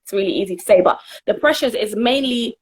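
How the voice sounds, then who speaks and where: A woman's voice: medium pitch, fairly quick, slightly rough. A young woman from Jamaica, a guest in a casual interview, answering earnestly with frequent laughs and restarts. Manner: earnest and giggly, trails off and restarts.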